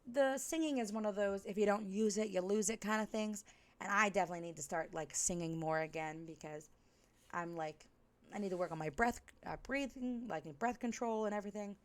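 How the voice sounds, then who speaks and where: A woman reading stories aloud and chatting in an expressive, theatrical way as a live storyteller. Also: medium pitch, fairly quick, no room sound.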